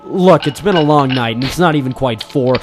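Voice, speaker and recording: A man's voice: medium pitch, fairly quick, lightly acted. A man playing a weary, independent private eye, terse and informal, in radio detective drama style with music behind. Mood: weary, impatient. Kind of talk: old-time radio detective drama acting.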